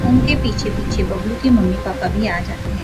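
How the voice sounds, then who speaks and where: A woman's voice: medium pitch, normal speed, over loud music, rough recording. A woman reading scripted narration for a children's story.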